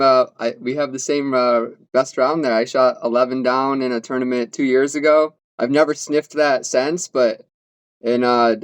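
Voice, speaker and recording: A man's voice: mid-range voice, clear, resonant tone, no echo. A man from Western New York hosting a podcast, hesitant and fumbling for his words. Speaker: podcast host. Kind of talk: casual podcast chat.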